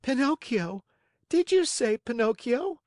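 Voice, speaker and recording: A storyteller voicing an emotional young wooden puppet boy in a high, childlike, pleading voice. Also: big pitch swings, unhurried, a little mellow, no echo, studio quality. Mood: crying, surprised. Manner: emotional, wailing, eager.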